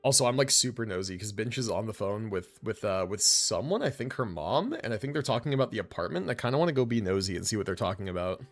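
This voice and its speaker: A man's voice: on the low side, lively intonation, fast talker, hardly any pauses, faint music behind. A man chatting casually and informally as he livestreams a game, rambling in slangy speech. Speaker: live streamer. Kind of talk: casual chat while livestreaming gameplay.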